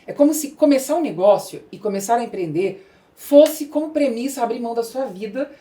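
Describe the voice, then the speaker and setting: A woman's voice: a little high, expressive, a little fast, dark tone. A middle-aged woman with a Brazilian accent hosting a podcast, explaining her views in a conversational way. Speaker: podcast host, business growth specialist.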